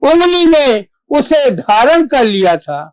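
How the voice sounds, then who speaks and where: A high-pitched stage actor plays a devoted, reassuring monkey-god messenger in a theatrical monologue. The delivery is extremely slow and declamatory, with formal diction and measured pauses. The sound is dry and close. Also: very animated, no echo.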